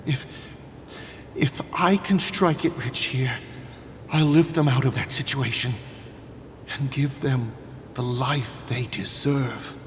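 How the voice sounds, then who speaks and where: An actor playing a desperate, guilt-ridden fugitive father in a video game, halting and stammering as he begs forgiveness. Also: close to an everyday voice. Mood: anguished. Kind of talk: dramatic game voice acting. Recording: long hall reverb, faint rumbling noise.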